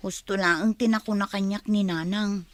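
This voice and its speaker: A woman's voice: mid-range voice, steady tone, moderate pace, lightly acted. A woman with an Ilocano accent playing a poor, complaining young village wife in a radio comedy drama. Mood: downcast. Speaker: voice actor.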